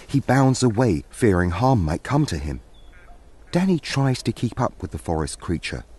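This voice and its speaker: A man narrating a scripted story in a theatrical, deliberate storyteller's manner. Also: deep voice, a little mellow, slight background noise.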